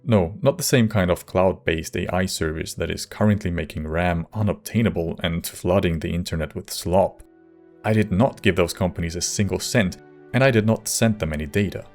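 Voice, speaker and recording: A man reading scripted video essay narration in a measured, wry manner. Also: deep voice, darkish tone, faint music behind.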